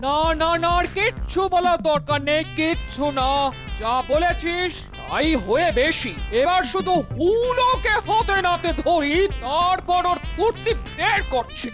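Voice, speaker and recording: A woman's voice: extremely high pitch, lively intonation, unhurried, over music. A woman voicing a strict, scolding auntie in a children's cartoon, bossy and exclamatory. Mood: indignant.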